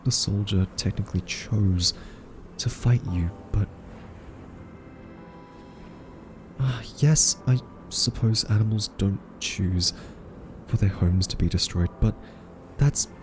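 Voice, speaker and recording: Audio drama role: nervous, gentle male scientist acted by a man, soft and mellow, slow and halting, earnestly reasoning with his captor. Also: fairly low pitch, lively intonation, slight background noise. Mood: uneasy, conceding. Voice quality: soft-spoken. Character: anxious, soft-spoken quirky scientist pleading with an evil AI. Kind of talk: audio roleplay monologue to the listener.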